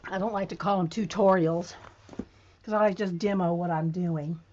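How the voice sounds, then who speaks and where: A woman hosting a casual livestream, chatting straight to her viewers while she is losing her voice and sounds hoarse. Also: fairly low pitch, fairly even intonation, normal speed, a little mellow, dull audio, a little hiss or hum. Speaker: livestream host.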